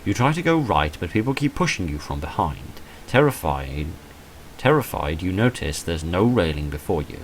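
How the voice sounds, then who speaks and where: A man with a deep voice reads a visual novel aloud while playing. His delivery swings widely in pitch as he dramatises the text, then drops into dry, understated asides. A light noise sits behind the voice. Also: sing-song, normal speed, poor audio. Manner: dry, understated humour, dramatic when reading. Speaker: gamer recording a playthrough.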